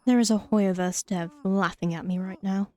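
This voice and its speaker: A woman's voice: mid-range voice, fairly even intonation, moderate pace, darkish tone, dry recording. A woman giving casual let's play commentary in a reflective, wry manner. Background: faint game music.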